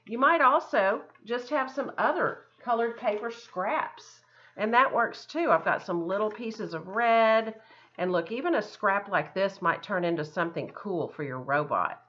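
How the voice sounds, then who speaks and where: A woman's voice: mid-range voice, measured pace, fairly bright tone. A woman art teacher giving children a step-by-step craft lesson in simple, encouraging words, often thinking aloud, on a dull lo-fi recording.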